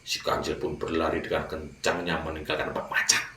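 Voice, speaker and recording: A man's voice: rumbling low, monotone, brisk, sharp tone, reverberant, far from the mic. A man narrating a fable for children as a storyteller, addressing his young listeners directly.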